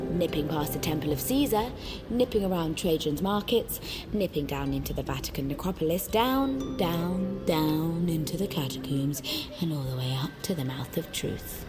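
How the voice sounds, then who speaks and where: A woman playing a brisk storyteller, narrating audio fiction in deliberate, list-like phrases. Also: on the low side, close to an everyday voice. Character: brisk female storyteller reeling off tourist sights. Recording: music behind the narration.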